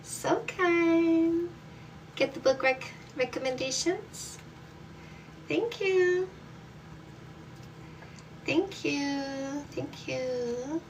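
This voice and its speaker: A woman's voice: high-pitched, extremely slow, pauses often, distant mic, slight background noise. A woman dating coach from Canada hosting a live stream, reading viewer comments aloud in an upbeat, affectionate way.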